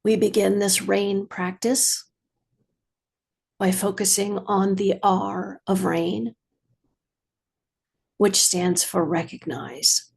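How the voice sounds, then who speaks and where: A woman meditation teacher leading a guided practice in a measured, soothing way, with long pauses and a slightly husky voice. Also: mid-range voice, fairly even intonation, darkish tone.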